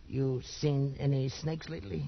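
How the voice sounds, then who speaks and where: An actor playing a nervous, slyly dismissive Portuguese trader with a Portuguese accent, a husky gravelly voice and hesitant broken English. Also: male voice, mid-range voice, fairly even intonation, normal speed. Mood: uneasy, insinuating. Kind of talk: 1940s radio acting. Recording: dull old radio recording.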